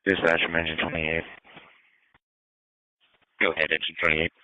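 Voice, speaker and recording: A man's voice: rumbling low, monotone, normal speed, dry recording, muffled recording. A man speaking in clipped, procedural phrases over a two-way emergency radio, like scanner traffic. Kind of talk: terse police and fire radio traffic.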